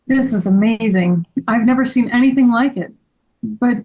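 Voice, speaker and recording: A woman's voice: on the high side, normal speed, mellow, low-quality recording. Woman reading an earnest, polite, grateful boyish friend. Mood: awed. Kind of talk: table read of a children's story.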